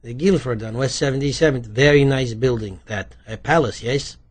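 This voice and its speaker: A man's voice: medium pitch, normal speed, close to an everyday voice. A man voicing a slippery, talkative immigrant bookie with a light Polish accent, evasive and chatty, in an audiobook. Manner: evasive, chatty, questioning tags.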